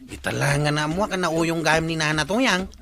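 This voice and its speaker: An actor playing a complaining village tricycle driver in a radio comedy drama, with an Ilocano accent. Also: male voice, a little high, moderate pace, vocal fry, music in the background. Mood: grudging.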